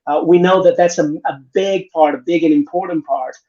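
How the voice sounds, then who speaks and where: Man, middle-aged, raised mellow voice, lo-fi call sound, reflective panel talk. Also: a little high, moderate pace. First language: Portuguese. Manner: reflective, many restarts and "right?" tags. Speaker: panel guest, innovation consultant. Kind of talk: conversational panel discussion.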